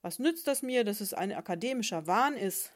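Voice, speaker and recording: A woman's voice: mid-range voice, expressive, moderate pace, lightly acted. A woman voicing a greedy, hot-tempered male octopus in a fairy tale. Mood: furious. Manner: grumbling then shouting.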